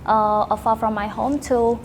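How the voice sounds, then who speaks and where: Young cambodian lady on a podcast, kinda high voice, pauses hunting for words, bit of noise behind her. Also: flat delivery. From Cambodia, Battambang province. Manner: halting, searches for words in non-native phrasing. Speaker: podcast guest, teacher and student. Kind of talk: casual interview answers.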